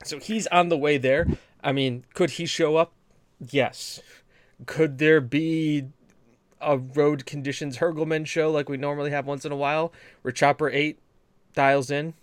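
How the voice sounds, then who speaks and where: A man's voice: a little high, steady tone, unhurried, clear, resonant tone, dry recording. A man from New England co-hosting a gaming podcast, chatting casually in long, rambling, joking rants. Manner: rambling, rant-prone, joking. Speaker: podcast co-host. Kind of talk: casual chat.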